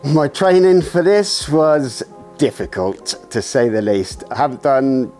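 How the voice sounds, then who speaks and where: A man answering interview questions as a competing angler. He talks in a relaxed, hedging way, recorded on a lapel mic indoors. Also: medium pitch, takes it slowly, faint music behind. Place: indoor room.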